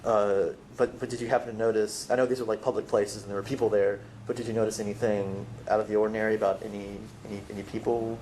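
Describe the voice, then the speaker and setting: A man's voice: on the low side, little pitch movement, fast talker, hardly any pauses, darkish tone, slight background noise, close to an everyday voice, poor audio. A man playing an awkward young amateur interviewer in found footage, asking probing questions in a tentative, stammering, repetitive way.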